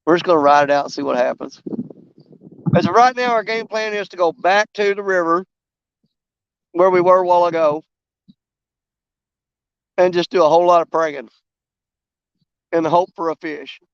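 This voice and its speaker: A man's voice: high voice, moderate pace, long pauses, clear, resonant tone, no room sound, lo-fi sound. A man, losing his voice and coughing, chatting on a live stream while fishing by a river, rambling and poking fun at himself. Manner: rambling, self-mocking, drawling. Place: outdoors by a river.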